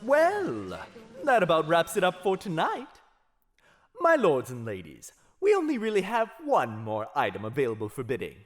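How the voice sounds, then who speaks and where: A man's voice: fairly high pitch, huge pitch swings, moderate pace. A man playing a suave, playful vampire auctioneer in an audio roleplay, with showy, courteous patter. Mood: showy, teasing.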